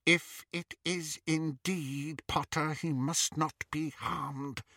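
A man's voice: on the high side, fairly even intonation, takes it slowly, pushed and bright, dry recording, clean studio sound, pitched above the performer's own voice, exaggerated voice. A man voicing an imperious, frightening witch on the edge of madness, commanding and volatile, in an audiobook story. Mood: anxious muttering. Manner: shrieking, commanding.

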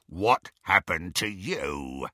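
A savage werewolf thug from a storybook. The voice is a low, rasping growl that drags slowly over words, gloating and menacing. It sounds close and dry. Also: male voice, sing-song, sharp tone, no echo, exaggerated voice. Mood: gruff bullying. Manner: slow, relishing, menacing.

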